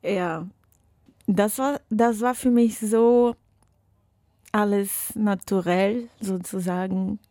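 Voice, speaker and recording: Slow, laughing young woman. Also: mid-range voice, warm tone, no echo, studio quality. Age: young adult. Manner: searching non-native phrasing, laughs often. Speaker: interview guest. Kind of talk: casual chat. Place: radio studio.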